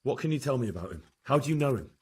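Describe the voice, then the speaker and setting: A man playing a determined, plain-spoken young medieval investigator in a game cutscene, direct and matter-of-fact, with a husky voice. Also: medium pitch, big pitch swings, racing. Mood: probing, firm. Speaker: video game protagonist, investigator. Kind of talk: dramatic game cutscene acting.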